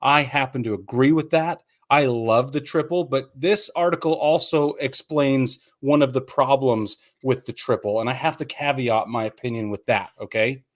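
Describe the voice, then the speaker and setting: A male podcast co-host talks through sports in a casual, conversational way. He is opinionated and explanatory, laying out his argument step by step in a mid-pitched voice. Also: normal speed, few pauses.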